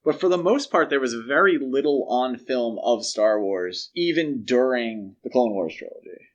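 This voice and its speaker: A man's voice: mid-range voice, animated, moderate pace, muffled recording. Explanatory man in casual podcast discussion. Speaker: podcast co-host. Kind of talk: casual pop culture discussion.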